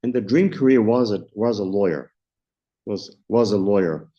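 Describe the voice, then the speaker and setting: A South African man around sixty, a company founder answering interview questions in a measured way, often restarting as he searches for words. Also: fairly low pitch, dark tone. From Johannesburg, South Africa. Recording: dull lo-fi recording.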